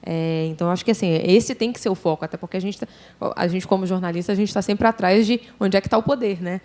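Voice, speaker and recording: Brazilian woman, halting and reflective panelist. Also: medium pitch, a little mellow. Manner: halting, reflective, peppered with tag questions. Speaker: journalist panelist. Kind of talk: panel discussion, informal opinion.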